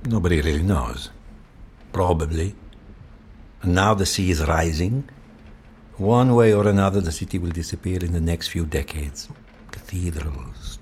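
Low, deadpan doom-laden man, desperate and grave, audio drama. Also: breathy edge, exaggerated voice. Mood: gloomy. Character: fatalistic Venetian man desperate to escape death.

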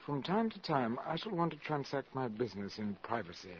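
An actor playing a calculating, ambitious valet in a 1950s radio drama, speaking formally and deferentially but with self-assurance. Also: male voice, mid-range voice, big pitch swings, brisk, a little hiss or hum, natural voice. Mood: coolly persuasive. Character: smooth, calculating, ambitious valet addressing a countess. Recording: muffled vintage 1950s radio recording.

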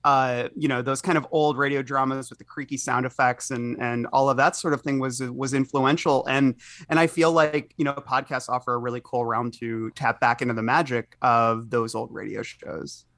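A man's voice: mid-range voice, fairly even intonation, moderate pace, fairly bright tone, dry recording, clean studio sound. A man co-hosting a podcast, chatting casually in a thoughtful, discursive way. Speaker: podcast co-host. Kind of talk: casual chat.

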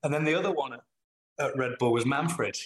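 A middle-aged man with a Manchester accent, a guest in an interview, answering thoughtfully with frequent pauses and restarts. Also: mid-range voice. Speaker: business leader guest.

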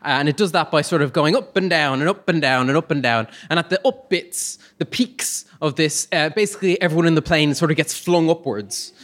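A man telling a humorous personal anecdote live on stage, in a conversational, hesitant manner with comic pauses. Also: on the high side, fairly bright tone. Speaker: storyteller on stage.

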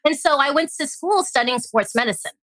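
A woman with an American accent, chatting casually as an interview guest and telling stories in an animated way. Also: sits high, fairly quick, no room sound, low-quality recording. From born in Hong Kong, grew up in Alaska. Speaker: guest, tea company founder.

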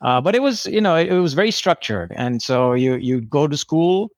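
A man's voice: a little high, lively intonation, moderate pace, dry recording, clean studio sound. A man from India in his thirties, answering interview questions in a hesitant way with many restarts. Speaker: guest.

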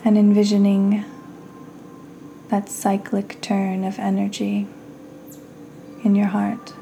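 Woman doing a guided meditation, mellow n soothing, really slow w long pauses. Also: mid-range voice, completely flat delivery, vocal fry, soft background music. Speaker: podcast host and meditation guide.

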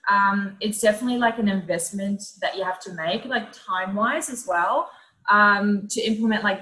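Female, thirties, bright forward voice, mid pitch; chatty, cheerful interview guest explaining casually. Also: poor audio. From Netherlands. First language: Dutch. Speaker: podcast guest, social media coach.

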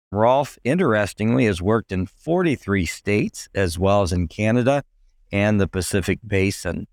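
A middle-aged man with a hoarse, raspy voice, hosting a casual podcast chat with many fillers. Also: on the low side, dry recording, studio quality. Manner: friendly, rambling with many fillers. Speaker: podcast host.

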